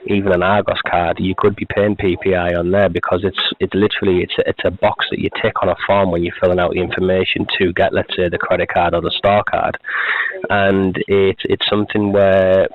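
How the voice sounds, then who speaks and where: A man, a telemarketer giving a rambling, repetitive sales pitch over the phone. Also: little pitch movement, a little fast. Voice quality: croaky. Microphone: telephone. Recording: tinny phone line.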